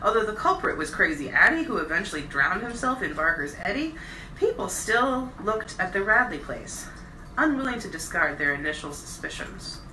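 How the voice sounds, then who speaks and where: A woman reading a novel aloud as a bedtime storyteller, with expressive, deliberate phrasing and stressed words. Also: mid-range voice. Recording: roomy echo, distant mic, faint noise.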